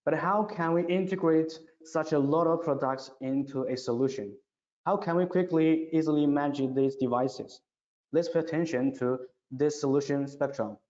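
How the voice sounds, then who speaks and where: Echoey, higher-voiced man presenting a webinar. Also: moderate pace, a little mellow. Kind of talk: scripted product presentation. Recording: dull, echoey, reverberant online webinar audio.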